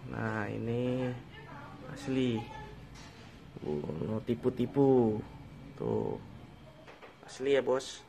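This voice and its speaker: A man's voice: mid-range voice, expressive, drawn-out words, creaky, long pauses, warm tone. A middle-aged man from Indonesia, giving a casual tour of his potted fruit trees like a folksy salesman, filmed on a handheld phone in a small outdoor garden. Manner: folksy salesman, refers to himself in third person. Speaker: durian grower showing his trees. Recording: noisy outdoor phone recording.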